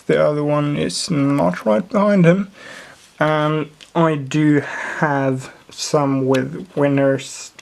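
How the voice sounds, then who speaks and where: A native Swedish speaker with a Swedish accent, casually showing off a model build and often pausing to search for English words. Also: medium pitch, vocal fry. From Sweden. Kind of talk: casual show-and-tell narration.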